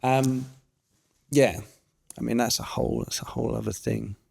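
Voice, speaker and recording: A man's voice: medium pitch, fairly even intonation, normal speed, creaky, a little mellow, husky. A man hosting a casual interview who talks in a rambling, chatty way. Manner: rambling, chatty, many fillers and restarts. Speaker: podcast host.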